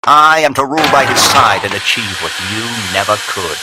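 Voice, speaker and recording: An actor playing a gloating, treacherous vampire henchman in a video game, raspy and theatrical, with mocking, formal archaic phrasing. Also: medium pitch, animated, moderate pace, heavy background noise, close to an everyday voice. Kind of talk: dramatic video game voice acting.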